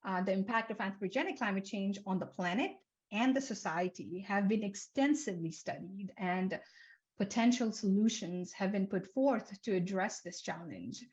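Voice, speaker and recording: A young woman from Nepal presents a research seminar in a steady, middle-pitched voice. She moves through her points one by one and drops in small fillers along the way. The recording sounds a little dull and lo-fi. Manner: measured, list-like delivery with frequent um and uh fillers. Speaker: seminar speaker, climate researcher. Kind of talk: academic seminar talk. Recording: slightly dull lo-fi lecture audio.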